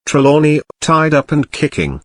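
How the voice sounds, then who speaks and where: A man's voice: a little high, big pitch swings, normal speed, no echo, clean studio sound. A man narrating an audio drama in a scripted, present-tense storytelling style.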